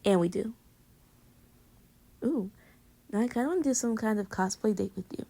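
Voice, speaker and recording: A woman playing an affectionate, teasing girlfriend with a hidden insecurity, in intimate pillow-talk roleplay audio, often slipping into breathy whispers. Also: medium pitch, moderate pace, mellow. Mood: playful, teasing.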